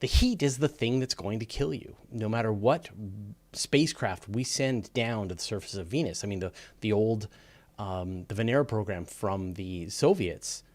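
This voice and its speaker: Male host, lowish voice, informal explainer delivery for a live astronomy Q&A, conversational. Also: moderate pace, a little mellow. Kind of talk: casual live explainer talk answering questions.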